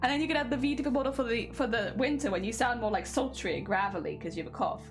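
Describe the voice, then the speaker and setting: A woman's voice: fairly high pitch, fast talker, faint music behind. A young woman chatting casually on a craft stream while sewing, rambling with lots of fillers and a slight winter cough.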